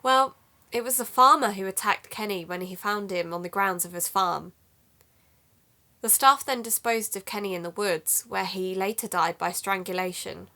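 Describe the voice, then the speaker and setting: A woman's voice: medium pitch, moderate pace, bright, forceful tone. An actress in an audio drama playing an earnest, matter-of-fact woman who hosts an investigative podcast. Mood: businesslike.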